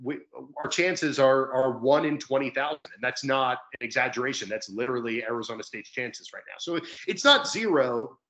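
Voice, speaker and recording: A man's voice: medium pitch, expressive, fairly quick, few pauses, fairly bright tone, poor audio. A young man, a sports commentator, talking through his points conversationally as a guest on a video-call interview. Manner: talkative, explanatory. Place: indoor room at home, video call.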